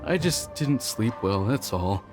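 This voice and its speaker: A man's voice: lowish voice, expressive, a little slow, over music. A man playing an anxious, self-effacing young clerk in an anime-style game, hesitant, apologetic and polite. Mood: uneasy, polite.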